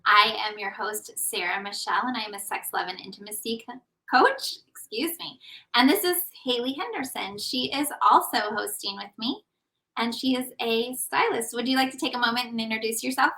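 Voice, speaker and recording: A woman in her thirties hosting a podcast as an intimacy coach, telling a story in a lively, casual way. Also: fairly high pitch, normal speed, recorded from a distance, rough recording.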